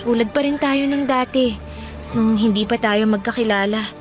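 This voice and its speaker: An actress playing a soft-spoken, sensible teenage girl who gently reasons about young love in a radio drama. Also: a little high, normal speed, over music, natural voice. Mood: tender.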